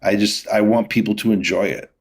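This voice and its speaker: A middle-aged man with a fairly low voice speaks as a guest on a casual podcast. He explains his craft in an easygoing, rambling manner, as in relaxed conversation. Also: fairly quick, breathy edge. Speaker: podcast guest, whiskey distiller. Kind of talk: casual interview talk.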